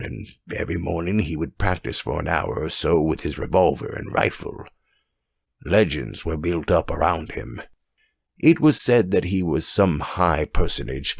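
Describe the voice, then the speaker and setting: A man voicing a dignified, rational judge telling a story in a grave, measured and formal manner for an audiobook. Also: low voice, creaky, dry recording, clean studio sound, heavily acted. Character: dignified, weighty, rational male French judge.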